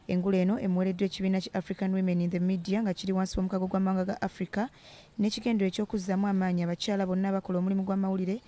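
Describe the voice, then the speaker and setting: A woman reading a scripted television news voice-over in a brisk, steady newsreader manner. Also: mid-range voice. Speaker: news reporter.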